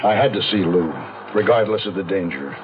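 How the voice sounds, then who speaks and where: Low-voiced hard-boiled fugitive narrator. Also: male voice, expressive, a little fast. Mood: terse, determined. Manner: terse, hard-boiled storytelling. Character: hunted man on the run narrating his own thriller. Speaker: narrator and lead character. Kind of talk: 1970s radio drama narration. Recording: dull 1970s radio recording, music behind.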